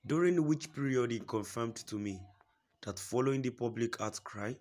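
A man reading a news report aloud in a steady, formal way, quoting a statement at length. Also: medium pitch, normal speed. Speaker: news reader.

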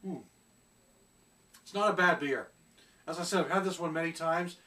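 A middle-aged man talks casually while reviewing a beer, hesitating often as he searches for words. His voice sits slightly high for a man. He is recorded in a small room at home by a camera a little way off. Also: normal speed, bright, forceful tone, far from the mic. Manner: chatty, hesitant with many fillers.

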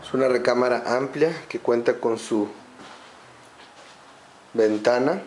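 A man giving a slow, methodical walkthrough of an empty home, listing its features room by room. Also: mid-range voice, darkish tone, slight background noise. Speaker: home sales guide. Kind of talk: walkthrough narration.

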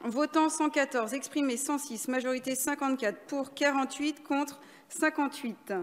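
A woman's voice: high-pitched, steady tone, normal speed, slight background noise. A woman in her thirties chairing a parliamentary session with formal procedural announcements. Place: large parliamentary chamber. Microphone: podium microphone. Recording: long hall reverb.

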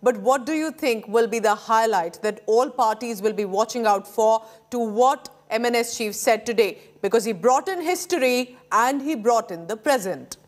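An Indian woman in her thirties anchors the news. Her voice sits a little high. She presents in a studio with a standard broadcast delivery. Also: normal speed, few pauses.

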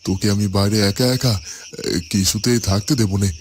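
A man acts a fond old village father in a cartoon. He is low-pitched, hesitant and worried, with faint noise behind him. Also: steady tone, brisk, close to an everyday voice. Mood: worried, protective. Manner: hesitant, worried, rustic phrasing. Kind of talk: Bengali fairy-tale cartoon dubbing.

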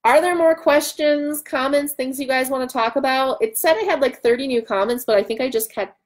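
A woman in her thirties hosting a casual live stream from home, loud, excitable and bantering as she answers comments. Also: high-pitched, fairly quick. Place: room at home by a large window.

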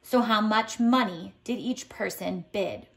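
Woman, teacher's read-aloud style, medium pitch, expressive, deliberate, emphatic storytelling. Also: creaky. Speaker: teacher reading a picture book aloud. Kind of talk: expressive children's read-aloud with lesson prompts. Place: indoors at home, tiled floor.

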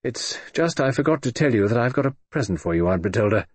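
A husky-voiced man playing a polite, nervous middle-aged bridegroom speaking in earnest, fretful tones. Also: mid-range voice, expressive, fast, dry recording. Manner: polite, earnest, a little anxious.

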